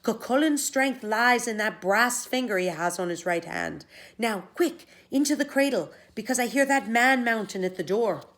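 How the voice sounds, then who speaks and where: A woman voicing a gentle, sly, composed storybook wife with a sweet, coaxing manner. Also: fairly high pitch, expressive, moderate pace, voice pushed up. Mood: brisk, reassuring. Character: gentle, sly, reassuring Irish giant's wife.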